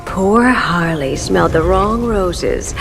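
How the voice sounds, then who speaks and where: A woman's voice: fairly low pitch, slow pace, vocal fry, low-quality recording. A woman playing a seductive, cruel plant villainess, languid and taunting, in a video game cutscene. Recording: music behind the voice.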